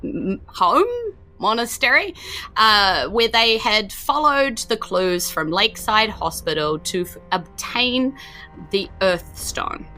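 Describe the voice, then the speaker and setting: A woman's voice: mid-range voice, expressive, takes it slowly, pushed and bright. A woman with a New Zealand accent running a tabletop game, narrating the story so far in a chatty way, with fillers and self-corrections. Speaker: game master.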